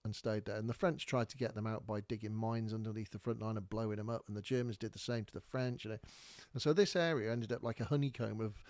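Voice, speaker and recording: A man's voice: lowish voice, steady tone, fast talker, hardly any pauses, darkish tone, no room sound, studio quality. A man from North Wales, telling a rambling, casual story as a podcast host while pointing things out on maps. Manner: chatty, rambling, with many fillers and restarts.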